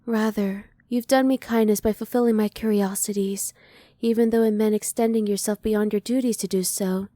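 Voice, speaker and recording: Woman playing a soft, mellow, reserved alien scientist. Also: medium pitch, fairly even intonation, dry recording, clean studio sound, close to an everyday voice. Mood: composed, reassuring. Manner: soft, measured, formal, restrained. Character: curious, formal, emotionally reserved female alien scientist. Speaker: voice actor. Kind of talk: ASMR roleplay.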